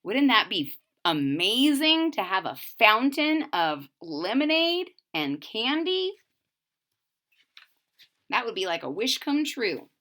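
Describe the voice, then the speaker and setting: Lady reading a kids story out loud, bright voice, really animated and dramatic. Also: on the high side. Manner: dramatic, slow, pausing to ask questions. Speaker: storyteller reading aloud to children. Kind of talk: expressive read-aloud of a picture book with questions to young listeners.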